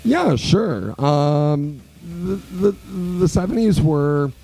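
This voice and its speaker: A man's voice: a little high, takes it slowly, vocal fry, husky, soft background music, poor audio. A man in his forties from Quebec, a guest musician telling stories in a radio interview, loose and rambling with lots of fillers. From Quebec, Canada. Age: 40s. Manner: relaxed, rambling storyteller with many fillers.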